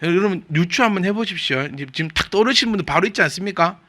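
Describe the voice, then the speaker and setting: A man's voice: high-pitched, expressive, very fast, sharp tone. A male pastor with a Gyeongsang Korean accent gives a chatty, humorous sermon and keeps asking his congregation questions. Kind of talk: conversational sermon.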